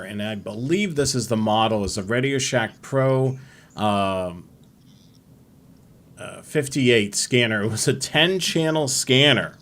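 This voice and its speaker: Rambling man hosting a live stream. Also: fairly low pitch. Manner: relaxed rambling, many fillers. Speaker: host. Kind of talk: live-stream radio hobby chat.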